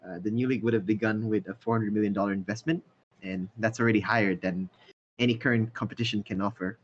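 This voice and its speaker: A young man hosting a casual podcast, talking in a relaxed conversational way with some fillers. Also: on the low side, fast talker. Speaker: podcast host. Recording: dull lo-fi mic.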